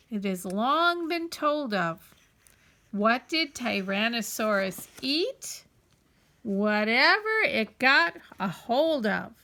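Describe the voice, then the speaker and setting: A woman reading a children's picture book aloud in an expressive, deliberate, emphatic storytelling manner. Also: sits high.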